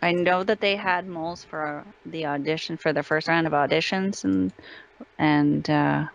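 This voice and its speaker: Woman in a casual interview, kinda gravelly fry in her voice, chatty storyteller. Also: deep voice, steady tone, measured pace, highs cut off. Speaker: voice actress being interviewed.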